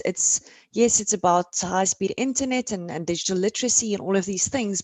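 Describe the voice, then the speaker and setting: A woman's voice: mid-range voice, dry recording. A woman from South Africa with an Afrikaans accent, moderating an online panel discussion over a video call in an encouraging way, often repeating words as she starts.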